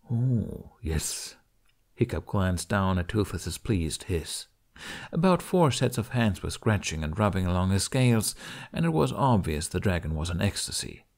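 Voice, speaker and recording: A man's voice: low voice, vocal fry, darkish tone. A man reading a story aloud as an audiobook narrator in a scripted storytelling style.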